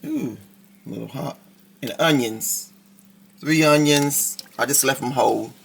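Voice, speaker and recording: A woman with a raspy voice, chatting casually to her viewers in a home kitchen cooking vlog. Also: very deep voice, measured pace, a little hiss or hum. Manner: chatty, talks straight to viewers. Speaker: cooking vlogger.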